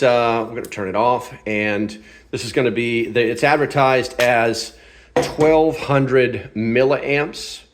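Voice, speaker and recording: A man hosting a casual hands-on product review, talking in an informal, off-the-cuff and self-deprecating way. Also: fairly low pitch. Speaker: host of a gear review channel.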